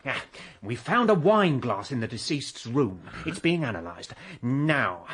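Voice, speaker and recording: An actor playing a deferential, dogged English police detective in a 1920s murder mystery radio play, with a husky voice. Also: male voice, mid-range voice, sing-song, measured pace, fairly bright tone, exaggerated voice. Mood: reporting.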